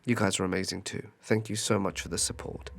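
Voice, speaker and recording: A man hosting a horror story channel, hoarse from a cold, narrating and then chatting through an apologetic outro. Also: low-pitched, little pitch movement, a little fast.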